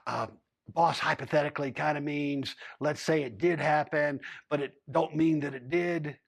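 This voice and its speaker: A man's voice: a little high, fairly even intonation, normal speed, vocal fry. A man voicing a plainspoken young male cowhand who explains things hesitantly.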